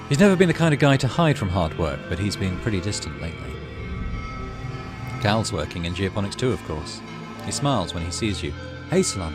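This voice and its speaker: Male gaming host, deep register, story narration with offhand commentary, music underneath. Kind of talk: reading visual novel text aloud with casual gameplay commentary.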